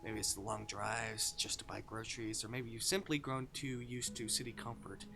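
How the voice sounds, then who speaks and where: A male streamer reading a visual novel aloud with expressive, dramatic delivery and casual commentary. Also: lowish voice, normal speed, creaky. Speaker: game streamer. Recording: music behind the voice.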